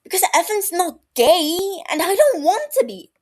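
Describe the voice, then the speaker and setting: A woman voicing an agitated, defensive young man in an audiobook, halting, heated and sharp. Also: extremely high pitch, very animated, voice pushed up. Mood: angry, anguished.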